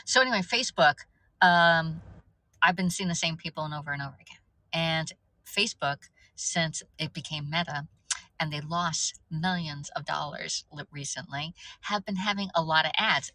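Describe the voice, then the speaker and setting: A woman's voice: fairly low pitch, bright, forceful tone, dry recording, poor audio. A woman in her fifties chatting casually on a livestream, rambling off topic and greeting viewers by name. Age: 50s. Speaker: livestream host.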